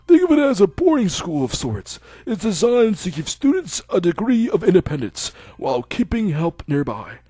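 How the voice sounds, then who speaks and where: A man doing a calm older doctor. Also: sits high, moderate pace, few pauses, husky, dry recording, pitched above the performer's own voice, exaggerated voice. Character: calm older male doctor, gently formal.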